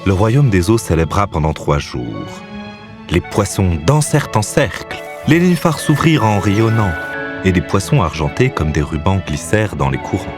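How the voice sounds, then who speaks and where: A man narrates a scripted fairy tale. His voice is deep and very varied in melody. Music plays clearly behind him. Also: very animated, normal speed.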